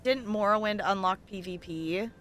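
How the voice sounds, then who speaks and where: A woman's voice: mid-range voice, moderate pace, fairly bright tone, a little hiss or hum. A woman hosting a game livestream, chatting casually and thinking aloud in a rambling way as she plays. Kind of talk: casual chat while playing an online game.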